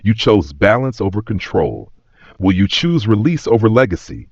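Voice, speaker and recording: A man voicing an ancient disembodied watcher spirit in an audio story, slow, solemn and cryptic. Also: on the low side, big pitch swings. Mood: grave, challenging. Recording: roomy echo, slightly dull sound.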